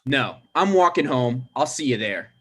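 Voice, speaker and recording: A man's voice: mid-range voice, sing-song, normal speed, a bit bright, breathy edge. A man playing a touchy, aggrieved mayoral candidate and grown son in a comedic table read, earnest and exasperated. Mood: hurt, irritated.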